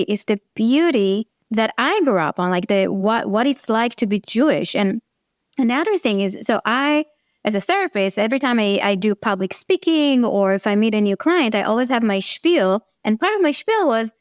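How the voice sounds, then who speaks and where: A woman's voice: fairly high pitch, moderate pace, no room sound, clean studio sound. A woman in her forties with an Israeli accent, a therapist talking earnestly and animatedly in an interview. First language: Hebrew. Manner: earnest, animated, swearing now and then. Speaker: therapist guest. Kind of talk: conversational interview.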